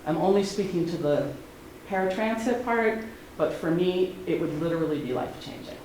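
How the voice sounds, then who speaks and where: A middle-aged woman, speaking earnestly and haltingly at a public meeting. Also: on the low side, a little mellow. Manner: earnest, halting, many ums. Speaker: public commenter. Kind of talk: unscripted public comment. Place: community meeting hall. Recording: very echoey hall, faint noise.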